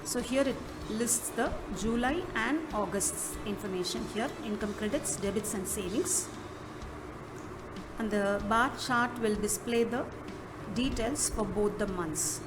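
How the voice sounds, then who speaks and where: A woman presents a software walkthrough in an instructional manner, with halting phrasing. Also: on the high side, noisy background. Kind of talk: step-by-step app walkthrough narration. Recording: music behind the voice. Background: clearly audible music.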